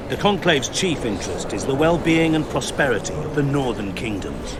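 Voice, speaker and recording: A deferential mage official from a fantasy game , played by a man. He speaks precisely and courteously at an average pitch, in an official register. The recording carries clear background noise. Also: close to an everyday voice. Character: formal, deferential mage envoy at a royal council. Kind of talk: formal dramatic game acting.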